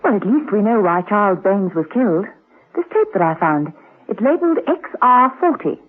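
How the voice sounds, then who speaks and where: An actress playing a brisk, sharp-witted woman spy in a classic radio drama. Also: mid-range voice, sing-song, husky. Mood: brisk, explaining. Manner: brisk, inquisitive. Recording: tinny, narrow vintage radio recording.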